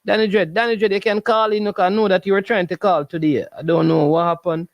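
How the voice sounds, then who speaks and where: Jamaican man, high voice, dry close sound, jocular sports show host, casual Patois, repetitive phrasing. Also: quick pace, dry recording. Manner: animated, jocular, repeats phrases. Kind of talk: casual live call-in chat in Patois.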